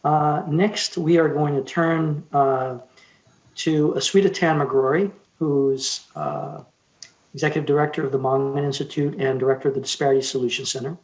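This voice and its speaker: A man hosting an event and introducing speakers informally, with halting phrasing. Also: fairly high pitch, fairly even intonation, a little mellow, highs cut off. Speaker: event host.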